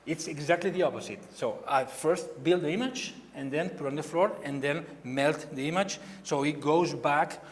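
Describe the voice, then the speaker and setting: A man from Barcelona speaking English with a Spanish accent, a painter giving a halting gallery talk who repeats words as he searches for them. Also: fairly high pitch. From Spain. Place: gallery hall. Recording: long hall reverb, faint ambience.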